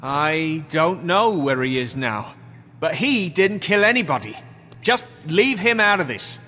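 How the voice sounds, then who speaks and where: An actor playing a cocky, evasive street thug in a video game, flippant, slangy and defensive under questioning. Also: male voice, on the high side, lively intonation, unhurried, sharp tone, big-room sound, soft background music, heavily acted. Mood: evasive, insistent.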